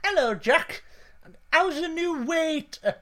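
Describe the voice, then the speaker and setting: A woman's voice: extremely high pitch, lively intonation, slow, sharp tone, full character voice. A woman playing a well-meaning, easily hurt restaurant manager in a comedy sketch, mild and placating. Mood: earnest. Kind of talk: adult comedy sketch acting.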